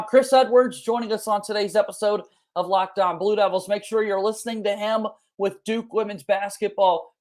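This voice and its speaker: A man hosts a sports podcast with clear enthusiasm. He speaks in a conversational interview style, setting up topics and handing them to his guest. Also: high voice, a little mellow. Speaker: podcast host.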